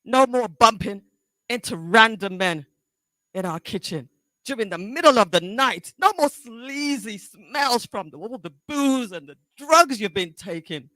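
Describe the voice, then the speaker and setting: A woman performing a dramatic monologue as a bitter, wounded grown daughter confronting her neglectful mother in halting, emotional, accusing speech. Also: on the high side, lively intonation, pushed and bright, no room sound. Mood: resolved, bitter.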